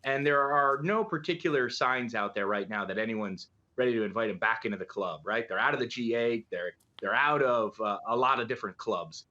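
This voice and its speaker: A man's voice: mid-range voice, a little fast, hardly any pauses, sharp tone. A middle-aged man giving live news commentary as an analyst, conversational and hesitant, posing rhetorical questions to make his points. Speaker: political analyst and journalist guest. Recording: dull, lo-fi remote link.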